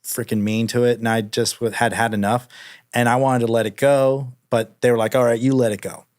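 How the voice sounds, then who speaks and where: A man from Miami tells stories as an interview guest. His pitch is average and his wording is loose and slangy. The sound is dry, with very little room in it. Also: flat delivery, brisk, no room sound. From Miami, Florida. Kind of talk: casual conversational storytelling.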